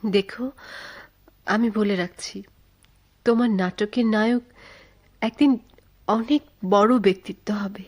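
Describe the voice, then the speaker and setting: A woman's voice: medium pitch, a little mellow. Earnest, devoted woman in love. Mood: devoted, emotional. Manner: earnest, emotive, dramatic pauses. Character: devoted, questioning woman, the playwright's earnest lover. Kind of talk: Bengali radio drama acting.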